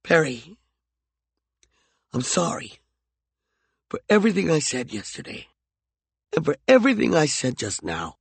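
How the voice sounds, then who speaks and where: A man's voice: a little high, wildly swooping pitch, measured pace, creaky, pauses often, slightly rough, dry recording, exaggerated voice. A male narrator voicing a jealous, volatile man who swings from mockery to heated pleading. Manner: heated, mocking and intense.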